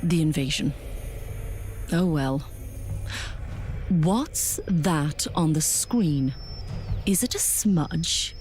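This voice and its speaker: A woman's voice: sits low, animated, drawn-out words, long pauses, darkish tone, soft background music, heavily acted. A woman playing a haughty, impatient military commander in an audio drama. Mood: bored, imperious.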